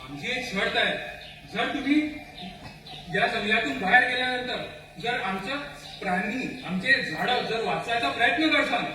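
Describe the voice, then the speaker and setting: Man acting a lenient high-voiced tribesman, echoey hall. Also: normal speed, sharp tone, faint music behind, full character voice. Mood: bargaining. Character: softer-hearted forest tribesman, lenient, bargaining companion. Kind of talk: amateur stage play acting in Marathi. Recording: very echoey noisy hall, far from mic.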